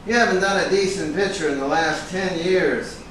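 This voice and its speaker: An actor playing a brash, excitable and scolding film agent in a theatrical staged reading, with an emphatic, hectoring delivery. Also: male voice, high voice, normal speed. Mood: scolding, pushy. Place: indoor room at a live staged reading. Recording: echoey room, distant mic, background hiss.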